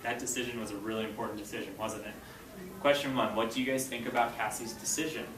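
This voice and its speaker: A man's voice: medium pitch, steady tone, fast, crowd noise. A man working as an elementary school teacher, giving step-by-step instructions and reading aloud to a class. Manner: clear step-by-step directives, checking understanding. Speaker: fifth grade teacher. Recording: roomy classroom, distant mic, faint noise.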